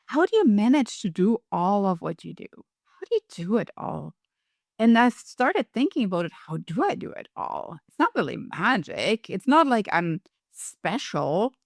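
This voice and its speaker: A middle-aged woman with a European accent talks as an interview guest, upbeat and emphatic. Her voice sits at an ordinary pitch for a woman. The sound is dry and close, with no room echo. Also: big pitch swings, moderate pace, gravelly. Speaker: podcast guest, coach. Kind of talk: conversational interview.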